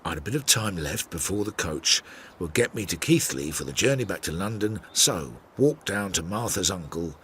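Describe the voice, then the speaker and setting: An actor playing a Victorian investigator, narrating a radio drama in a reflective, deliberate and understated way. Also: male voice, low voice, animated, fairly bright tone, a little hiss or hum. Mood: measured, wry. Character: measured Victorian investigator recounting a failed case.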